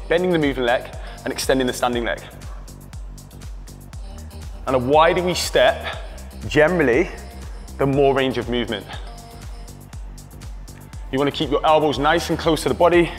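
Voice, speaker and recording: A British man guides a workout with calm, steady coaching cues. His voice carries a long reverb from the hall, with faint music under it. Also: mid-range voice. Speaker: fitness coach. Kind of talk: instructional workout voice-over.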